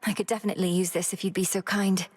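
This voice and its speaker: A woman playing an earnest, determined young underworld princess in a video game, speaking formally and with measured resolve. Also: medium pitch, flat delivery, a little mellow, husky, close to an everyday voice. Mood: courteous. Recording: long reverberant hall effect.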